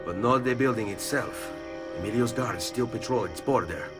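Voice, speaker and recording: A man's voice: mid-range voice, normal speed, distant mic, music in the background, close to an everyday voice. A man playing a cool, confident young assassin in a video game, with an Italian accent. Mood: businesslike. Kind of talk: video game cutscene acting.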